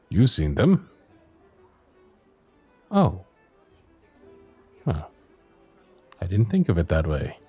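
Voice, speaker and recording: A dutiful young royal knight, acted by a man in an intimate fantasy audio drama. His low voice is a little husky and moves with lively swings of pitch. He speaks with courtly, earnest tenderness. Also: exaggerated voice. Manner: formal, courteous, soft-spoken, earnest. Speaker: voice actor in audio roleplay.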